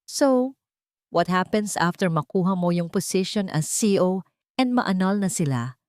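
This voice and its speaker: A cool female accomplice who is teasing and probing, with a half-admiring edge. A woman reads her low and close, in a dry recording. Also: expressive, unhurried, a little mellow, clear, resonant tone, no room sound.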